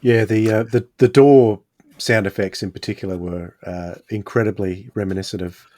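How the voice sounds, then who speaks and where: A man's voice: fairly low pitch, steady tone, normal speed, dark tone. A man hosting a podcast in a casual review chat.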